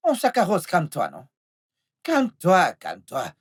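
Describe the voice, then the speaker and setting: A man's voice: high voice, sing-song, quick pace, fairly bright tone, slightly rough, exaggerated voice. A man playing a volatile, scheming husband in a film melodrama, repeating himself and stammering through his words. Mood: pleading, soothing. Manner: repetitive, stammering delivery. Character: volatile, scheming unfaithful husband with a short temper.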